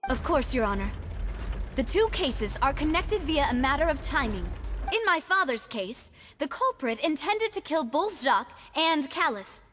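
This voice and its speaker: An actress playing a determined, earnest young woman in a video game, speaking deliberately like a detective making an accusation. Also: sits high, sing-song, fairly bright tone, soft background music. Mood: confident. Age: young adult. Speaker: voice actor in a game. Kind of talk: dramatic video game dialogue.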